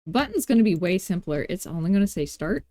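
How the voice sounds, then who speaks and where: A woman hosting a software tutorial in a casual, playful way, thinking aloud and tossing in self-deprecating asides as she works. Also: on the low side, very animated, quick pace, no echo. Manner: informal thinking aloud while working. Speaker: tutorial host. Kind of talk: casual screen-recorded software tutorial narration.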